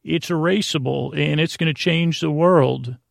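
A man in his forties hosting a sleep podcast, rambling casually, halting, repeating himself and trailing off mid-thought. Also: a little high, vocal fry, dry recording, clean studio sound. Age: 40s. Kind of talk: rambling casual bedtime monologue.

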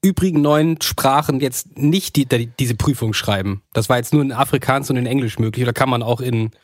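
A man's voice: medium pitch, fairly quick, dry recording, clean studio sound. A man hosting a podcast interview, chatty and asking his guest questions. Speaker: podcast host. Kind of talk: casual interview.

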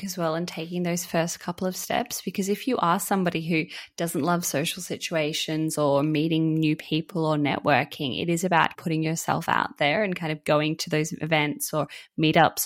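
A woman's voice: sits low, fairly even intonation, normal speed. A woman hosting a podcast who asks questions in an encouraging, conversational way. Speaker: podcast host. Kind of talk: interview questions.

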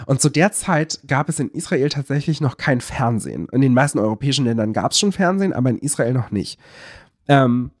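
A man's voice: mid-range voice, lively intonation, a little fast, no echo, studio quality. A man co-hosting a casual podcast, explaining things in a searching way and often correcting himself. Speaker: podcast co-host.

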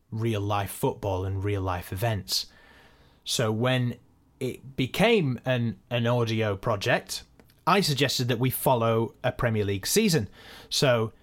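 A man, a podcast co-creator, answering listener questions in a casual, chatty, self-deprecating way. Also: mid-range voice. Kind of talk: casual behind-the-scenes Q and A.

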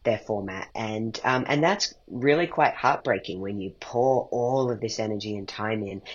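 Male interview guest, slightly low and raspy, thoughtful and reflective, on a muffled recording. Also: normal speed. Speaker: guest, playwright and podcast creator. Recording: dull, muffled lo-fi sound.

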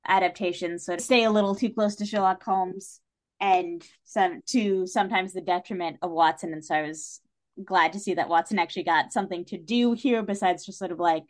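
A woman's voice: lowish voice, brisk. Chatty woman discussing books. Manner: chatty and opinionated, often emphatic. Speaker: podcast guest, writer and director. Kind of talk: casual literary discussion.

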